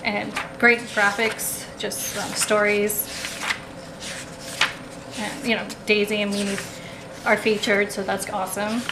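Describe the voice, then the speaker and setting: A woman's voice: medium pitch, flat delivery, slow, long pauses. Chatty woman in an echoey room. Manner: rambling, many ums and restarts. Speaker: haul vlogger. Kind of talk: casual show-and-tell haul. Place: indoors at a table, camera over the table. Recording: echoey room with background noise.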